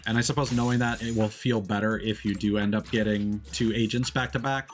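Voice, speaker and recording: A man's voice: lowish voice, fairly even intonation, fairly quick. A man giving casual live gameplay commentary as a streamer, musing to himself and talking to the game. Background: game music.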